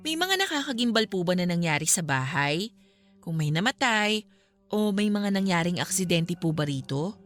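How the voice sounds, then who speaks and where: A woman's voice: fairly low pitch, big pitch swings, moderate pace, a bit bright, faint music behind. A woman playing a polite, businesslike young vlogger who explains things courteously in a Filipino radio drama. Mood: courteous, persuading.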